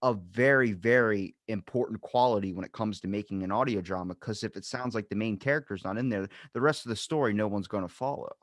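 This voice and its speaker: A man's voice: lowish voice, fairly quick, few pauses, no room sound, studio quality. A man hosting a podcast, chatting informally in a rambling way and acting out little quoted asides. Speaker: podcast host. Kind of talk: casual chat.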